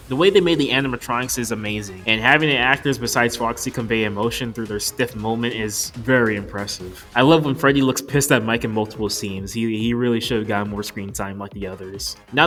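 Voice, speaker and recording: A man's voice: mid-range voice, fairly bright tone. A man in his twenties narrating an opinionated, scripted movie review in an informal way. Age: 20s. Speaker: video reviewer.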